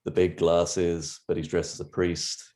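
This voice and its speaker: Man, very deep voice, dark and mellow tone, casual chatty podcast host. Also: little pitch movement, measured pace. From England. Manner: chatty, rambling, laughs easily. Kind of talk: casual video-call interview.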